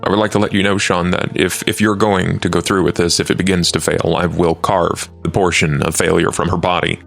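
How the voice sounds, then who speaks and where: A man playing a formal, coolly menacing vampire who speaks in measured, precise words. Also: deep voice, little pitch movement, gravelly, fairly bright tone, faint music behind. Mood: grim, threatening.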